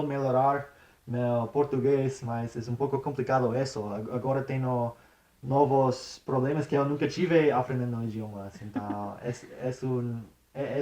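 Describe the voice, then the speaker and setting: Young American man, English native speaker, interview guest with a halting learner's delivery and frequent restarts. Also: mid-range voice, darkish tone. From United States. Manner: hesitant, many restarts and fillers, slips into English "yeah". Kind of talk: casual interview chat.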